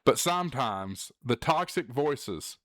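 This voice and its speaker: A man's voice: mid-range voice, animated, takes it slowly, fairly bright tone, no echo, clean studio sound. A man hosting a hobby show, talking casually and directly to the listener in an earnest, encouraging way with emphasis on key words. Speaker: host of a ham radio show. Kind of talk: casual direct-to-camera talk.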